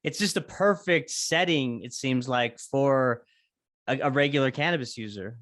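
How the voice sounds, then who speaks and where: A man's voice: mid-range voice, normal speed, a bit bright, no echo, studio quality. A man hosting a podcast, chatting in a laid-back way and often tagging his sentences with "man.". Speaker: podcast host. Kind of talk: casual interview chat.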